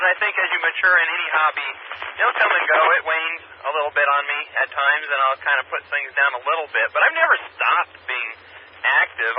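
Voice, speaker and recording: Guy on ham radio, muffled and staticky, rambles along hesitantly, just casual chat. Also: fairly high pitch. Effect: two-way radio transmission. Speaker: amateur radio operator. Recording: muffled, noisy radio repeater picked up by scanner.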